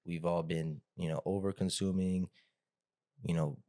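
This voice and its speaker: A man's voice: deep voice, flat delivery, measured pace, dark tone, dry recording, studio quality. A man hosting a podcast in a casual, rambling way, padding his talk with fillers. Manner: rambling, hedging with frequent "like" and "you know". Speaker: podcast host, interviewer. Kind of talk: casual chat.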